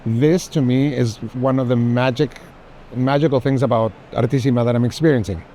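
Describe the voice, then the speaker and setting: A man's voice: medium pitch, steady tone, fairly quick, warm tone. A middle-aged man, chatting casually and emphatically on an art fair tour, repeating himself for stress. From Mexico. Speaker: artist and art collector. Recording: faint urban ambient noise.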